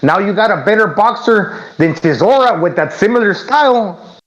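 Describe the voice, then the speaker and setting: Male co-host, fairly high voice, halting casual delivery, muffled lo-fi line. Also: expressive, a bit bright. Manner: loose, halting, repeats words. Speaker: podcast co-host. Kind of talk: casual boxing podcast chat. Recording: dull lo-fi remote line.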